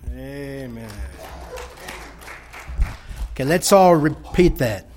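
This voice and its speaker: A man with a Texas drawl talks to a church crowd about his mission work. He speaks very slowly, restarting his sentences often. The tone stays folksy and plain. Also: mid-range voice, darkish tone, slight background noise. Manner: slow, folksy, halting with many restarts.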